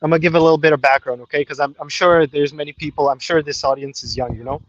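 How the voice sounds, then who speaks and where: Higher-voiced young man on a dull call. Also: steady tone, fast. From Pakistan, later Canada. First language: Urdu. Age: 20s. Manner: informal, with frequent "you know" and slang. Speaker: caller. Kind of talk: casual personal storytelling. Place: outdoors.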